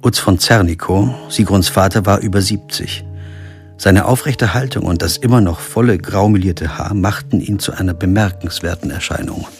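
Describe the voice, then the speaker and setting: A man's voice: low voice, normal speed, faint music behind. An actor playing a sober, observant lawyer who narrates his own story in a radio crime drama. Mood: sober, reflective. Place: studio.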